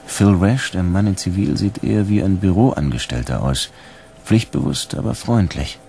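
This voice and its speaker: An actor playing a suspicious bounty hunter in a German radio drama, terse and dry, sometimes narrating in first person. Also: male voice, deep voice, expressive, moderate pace, gravelly, slight background noise. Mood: wary, brooding. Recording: loud music under the voice.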